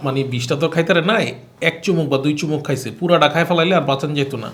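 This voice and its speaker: An actor playing a bumbling, henpecked husband, stammering and whining in a comic melodrama. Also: male voice, fairly high pitch, fairly even intonation, very fast, close to an everyday voice. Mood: flustered. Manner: stammering, flustered, pleading. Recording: echoey room with music behind.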